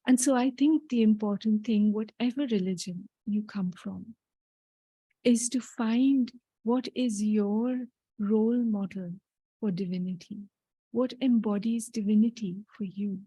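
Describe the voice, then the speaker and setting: A woman from Italy gives a guest talk on spirituality with a warm, mellow tone. Her speech is thoughtful and reassuring, dotted with "you know". The sound is close and dry, with no room around it. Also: medium pitch, unhurried, husky, dry recording, low-quality recording. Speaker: guest speaker. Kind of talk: answering audience question in a spiritual talk.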